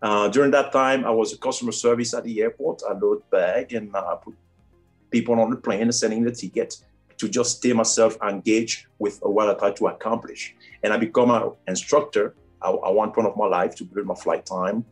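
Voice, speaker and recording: Man, Cameroonian French accent, low voice, halting earnest answers, faint music behind. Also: fairly even intonation. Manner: earnest, halting with frequent fillers. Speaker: airline first officer, guest. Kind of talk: interview answers.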